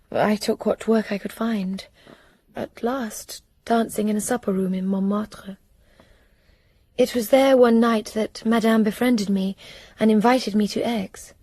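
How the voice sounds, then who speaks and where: A frightened young English woman, telling her story in a soft, hushed voice. The tone is dark and breathy, and the dry, close sound suits a radio drama. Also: medium pitch, dry recording. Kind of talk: radio drama narration and acting.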